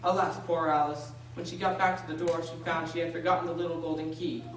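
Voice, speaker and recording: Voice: mid-range voice, little pitch movement, quick pace, lightly acted. A performer playing a storybook narrator, reading a scripted radio drama aloud in a storytelling manner. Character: storybook narrator of a children's tale. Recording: very echoey, distant, noisy with clicks.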